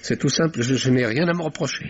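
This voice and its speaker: An actor playing a pompous, self-righteous middle-aged French uncle in a 1950s-style radio drama, speaking formally and defensively. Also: male voice, mid-range voice, fast, slightly rough, natural voice. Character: pompous, indignant, self-righteous older uncle under questioning. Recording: dull vintage radio recording.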